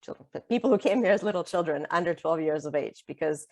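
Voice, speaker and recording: Woman, middle-aged, Russian native speaker; conversational author guest, lower register, dry close mic. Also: fast talker, darkish tone, dry recording. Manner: chatty, many fillers and restarts, laughs easily. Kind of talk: conversational interview.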